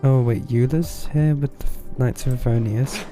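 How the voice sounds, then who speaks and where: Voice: medium pitch, moderate pace, mellow. A game streamer giving casual, wry and understated live commentary while playing. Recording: game music behind the voice. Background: video game music.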